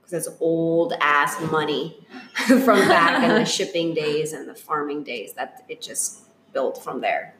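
A woman's voice: deep voice, takes it slowly, a bit bright, roomy sound, slight background noise, low-quality recording. A woman born in Africa, a guest in a casual chat, telling stories and laughing often. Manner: laughing, chatty storyteller.

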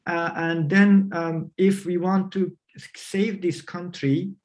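Slow, halting man. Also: high-pitched, little pitch movement, darkish tone. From Afghanistan. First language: not English. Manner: halting, many fillers and restarts. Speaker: interview guest. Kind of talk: remote interview answers.

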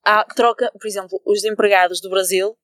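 A young woman with a European Portuguese accent speaks earnestly, building her arguments one point at a time. Her voice sits in a middle pitch. The recording is dry and close, with little room sound. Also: fairly even intonation, brisk, a bit bright, no echo. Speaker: podcast co-host. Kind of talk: casual podcast discussion.

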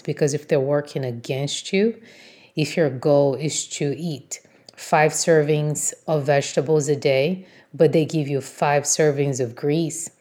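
A woman's voice: low-pitched, darkish tone. A woman whose native language is Portuguese, giving an encouraging motivational talk as a host, in an emphatic, instructive manner. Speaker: podcast host, motivational coach.

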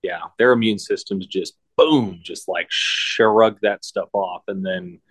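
A woman co-hosting a podcast in casual chat, supportive and quick to agree and joke along. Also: a bass voice, slightly rough, dry recording. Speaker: podcast co-host.

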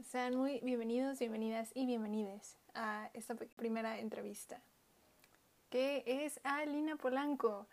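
Friendly higher-voiced woman hosting a podcast. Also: fairly even intonation, a little slow. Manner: friendly, uses fillers like "este" and "bueno". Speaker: podcast host. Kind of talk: casual interview hosting.

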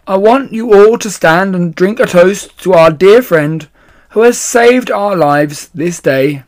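A man voicing a hearty, excitable badger in a children's story, plain-spoken and rousing. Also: high-pitched, takes it slowly, voice pushed up. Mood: jubilant. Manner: rousing, emphatic toasting.

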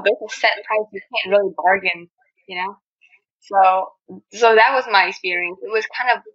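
A woman's voice: mid-range voice, a bit bright, breathy edge, lo-fi sound. A young adult woman from Vietnam with a Vietnamese accent, chatting candidly as a guest and telling personal stories with plenty of filler phrases like "you know". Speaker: podcast guest, marketing student. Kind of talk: casual personal storytelling in an interview.

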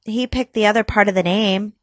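A woman's voice: medium pitch, fairly even intonation, a little fast, no room sound. A woman co-hosting a casual podcast chat, swearing freely, reading things aloud and laughing with her co-host. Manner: laughing, profane, bantering.

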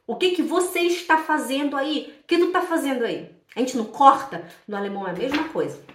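A woman with a Brazilian accent from Pará giving an informal, chatty language lesson, repeating phrases deliberately and checking in with her listeners. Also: sits high, expressive, a little hiss or hum. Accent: Brazilian Portuguese, from Pará. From Belém do Pará, Brazil. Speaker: German language teacher. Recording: echoey room, distant from mic.

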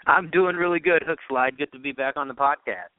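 A man's voice: on the high side, fairly quick, no echo. A man, a radio sports announcer, giving conversational interview answers as a guest over a narrow phone line.

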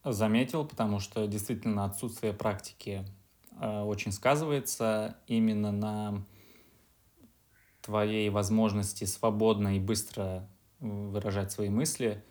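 A man being interviewed as a podcast guest, answering in a measured, explanatory way. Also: fairly low pitch, fairly even intonation, darkish tone. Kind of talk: casual interview.

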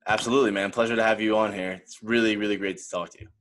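A young man hosting a casual interview podcast, energetic in his intro and relaxed when asking questions. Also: on the low side, rapid-fire. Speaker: podcast host.

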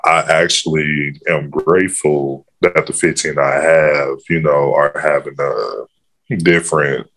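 A man's voice: very deep voice, fairly even intonation, slow pace, a bit bright. A man who coaches a girls' basketball team, answering interview questions casually and filling his speech with "you know". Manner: easygoing, fills with "you know".

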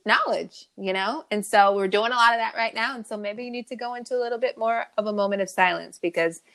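A woman's voice: mid-range voice, quick pace, a bit bright. A young woman co-hosting a podcast, chatting casually, affirming and laughing easily. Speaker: podcast co-host. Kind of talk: casual chat.

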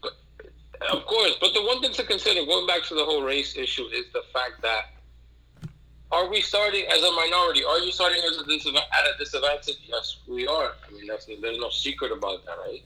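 A man's voice: a little high, expressive, brisk, pushed and bright, low-quality recording. A man speaking casually as a podcast guest, earnest and emphatic, often repeating phrases and posing rhetorical questions. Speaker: podcast guest, streetwear entrepreneur. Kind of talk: casual interview conversation.

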